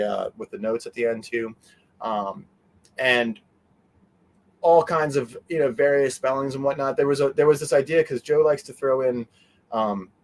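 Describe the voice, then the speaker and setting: Casual, rambling man in an interview. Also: medium pitch. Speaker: interview guest.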